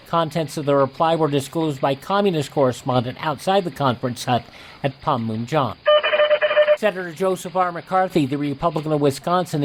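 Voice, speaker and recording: A man with an American accent reading scripted news and show introductions as a radio host, in measured announcer phrasing. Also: a little high, darkish tone, a little hiss or hum, low-quality recording.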